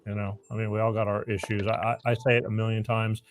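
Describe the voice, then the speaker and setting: A man's voice: fairly low pitch, little pitch movement, fast. A man hosting a talk show, easygoing and folksy, taking calls and chatting with his guest. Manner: easygoing, folksy, repeats himself. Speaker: show host. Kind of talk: casual talk show chat.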